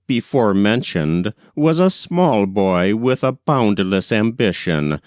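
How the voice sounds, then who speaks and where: A man narrates a literary tale at an unhurried pace. His pitch moves freely through each sentence, and the recording is close and dry. The delivery is measured and scripted, like an audiobook. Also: clear, resonant tone, dry recording. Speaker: audiobook reader.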